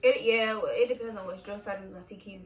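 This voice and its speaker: A young woman co-hosting a vlog, telling army stories in an animated, profane, laughing way. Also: medium pitch, fast talker, echoey room, far from the mic, rough recording. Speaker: vlog co-host, army veteran. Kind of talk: casual storytelling chat.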